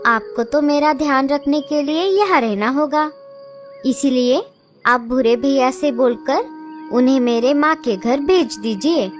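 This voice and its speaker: A woman's voice: high-pitched, measured pace, soft background music. A woman voicing a young, expectant female sparrow who pleads anxiously with her husband in a cartoon. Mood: pleading.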